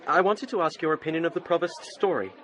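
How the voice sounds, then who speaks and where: Man acting a young scholar, courteous, earnest, lightly high voice, faint crowd, radio drama. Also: fast, a bit bright, lightly acted. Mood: courteous interest. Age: young adult. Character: earnest young English university student, courteous.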